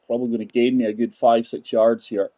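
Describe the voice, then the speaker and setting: A man's voice: mid-range voice, flat delivery, brisk, dark tone, no room sound. A man in his forties giving chatty live commentary on a golf game, talking straight to his viewers. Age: 40s. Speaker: game streamer.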